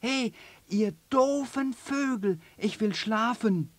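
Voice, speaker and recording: Man playing a small grumpy kangaroo in a storybook, high-pitched and shrill. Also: takes it slowly, dry recording. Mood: annoyed. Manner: shrill scolding. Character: small cross young kangaroo.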